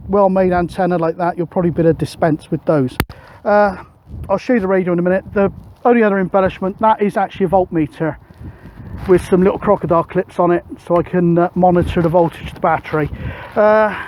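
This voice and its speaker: A middle-aged man with a notably high voice talks casually to camera out in the open. He is hesitant, working out his words as he goes. Faint wind noise sits behind him. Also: mellow. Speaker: radio hobbyist vlogger. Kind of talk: casual outdoor vlog narration. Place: open hilltop field, outdoors. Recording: outdoor recording with wind noise.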